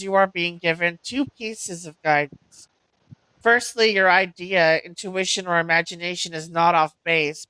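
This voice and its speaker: Bright, clear-voiced woman reading oracle cards. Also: lowish voice, dry recording, low-quality recording. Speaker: oracle card reader and host. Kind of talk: reading oracle card meanings aloud.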